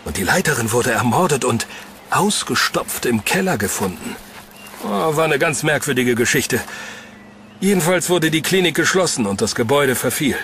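A man's voice: medium pitch, lively intonation, moderate pace, a bit bright, slight background noise. A man playing a gossipy, sceptical passer-by in a horror audio drama, chatty and knowing. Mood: chatty, puzzled. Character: sceptical, gossipy male passer-by, mildly mocking.

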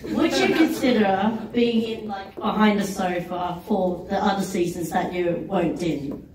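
A woman's voice: medium pitch, normal speed, over a noisy crowd. A woman in an audience casually asking a question at a live event. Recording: very echoey room, distant, audience murmur.

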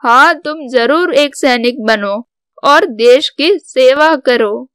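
A devoted older mother from a cartoon family drama , played by a woman. The voice is high and very clear, with a slow, solemn delivery that carries pride. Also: a bit bright, no room sound, clean studio sound. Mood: proud. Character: proud, devoted older mother of soldier sons. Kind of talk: scripted Hindi cartoon story dialogue.

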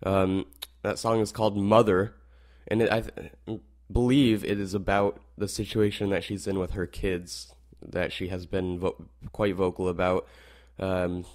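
A teenage boy hosting a rock radio show, slightly hoarse, wrapping up with rambling asides and many fillers. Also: deep voice. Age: teens. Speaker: radio host. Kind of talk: casual show wrap-up.

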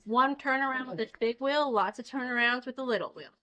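High-voiced woman explaining a craft. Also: brisk, bright, forceful tone, low-quality recording. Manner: explanatory, uses simple everyday comparisons. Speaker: fiber spinning demonstrator. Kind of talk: informal hands-on craft demonstration. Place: indoor farm shop with concrete floor. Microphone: handheld camera a short distance away.

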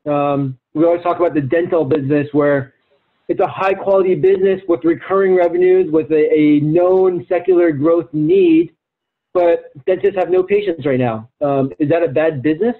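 A man speaking as an investment executive on a client call, explaining in a halting way with many fillers and repeated words. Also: on the high side. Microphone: phone or conference call line. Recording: thin, phone-like conference call line.

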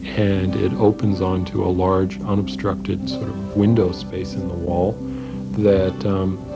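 A man, a healthcare practitioner, giving relaxed, halting explanations full of fillers in a documentary interview. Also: low-pitched, gravelly. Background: music.

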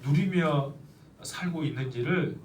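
A middle-aged man preaching, his slightly high voice a little nasal from a cold. He speaks earnestly, stretching key phrases slowly for weight. The sound is roomy and set back from the mic in a church, with faint background noise. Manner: earnest, with slow emphatic stretches. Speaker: pastor. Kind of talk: sermon. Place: church sanctuary. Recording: echoey church, mic at a distance.

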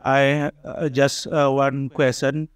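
A man's voice: medium pitch, little pitch movement, slow pace, clear, resonant tone. A man from Indonesia with an Indonesian accent asking a question at a conference. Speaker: audience questioner.

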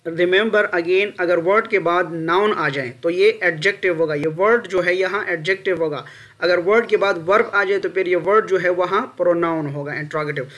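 A Pakistani man with a high-pitched voice gives a lesson as a teacher. He explains rules with examples in a didactic way, restating each point and often tacking on a short question to check understanding. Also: fairly bright tone. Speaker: English grammar teacher.

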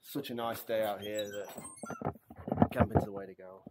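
A relaxed man in his thirties talks to an action camera at arm's length on a mountain trail, his voice sitting a little low. He keeps an upbeat, easygoing flow, full of casual fillers. Outdoor noise comes through clearly behind him. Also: moderate pace. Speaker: hiking vlogger. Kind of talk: casual vlog narration to camera. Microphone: action camera held at arm's length.

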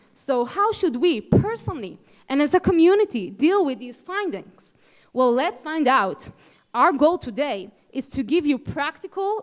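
A woman's voice: high voice, in a large hall. A young woman with an Israeli accent moderating a conference session on stage, rousing the audience with a handheld microphone in a large ballroom. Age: young adult. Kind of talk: conference keynote introduction.

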